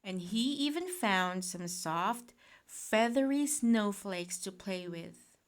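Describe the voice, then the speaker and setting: A woman reading a children's bedtime picture book aloud in a gentle, expressive, deliberate manner. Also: mid-range voice. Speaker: storyteller.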